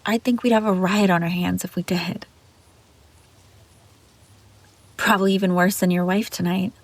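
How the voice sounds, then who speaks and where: A woman's voice: fairly low pitch, fairly even intonation, brisk, no room sound. A woman playing an obsessive, scheming young babysitter in an intimate audio roleplay, pleading and defensive. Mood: casual chatter. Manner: pleading, defensive, hesitant then insistent. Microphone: close, dry microphone.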